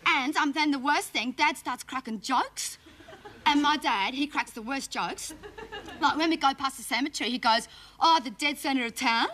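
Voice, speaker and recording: A woman's voice: sits high, moderate pace, lightly acted. A woman playing a bratty, indignant Australian schoolgirl in a comedy monologue, telling tales in a slangy run-on way and mimicking her family. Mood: aggrieved. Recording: loud background noise.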